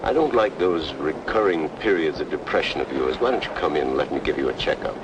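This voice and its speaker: Concerned old radio doctor, deep lively voice, rumbly noisy recording. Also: male voice, fairly quick, highs cut off. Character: friendly, concerned male small-town doctor. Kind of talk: old-time radio drama acting.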